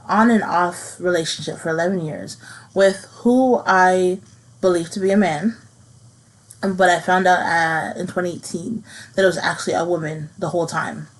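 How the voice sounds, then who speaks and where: Earnest woman podcast host, lowish voice. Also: low-quality recording. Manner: earnest, halting, repeats phrases as she processes. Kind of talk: personal reflective monologue.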